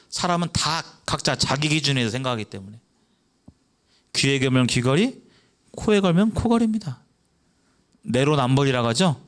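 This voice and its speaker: A young man delivers an evangelistic sermon in a speaking voice of middle pitch. He keeps asking the congregation questions and answers them himself, and at times he briefly acts out children in a high voice. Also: a bit bright. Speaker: preacher.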